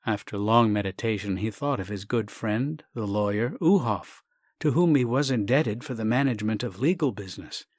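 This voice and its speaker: A man reading a classic comic short story as scripted narration, in a measured, wry, theatrical way. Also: lowish voice, darkish tone, no echo, studio quality. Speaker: story reader, actor.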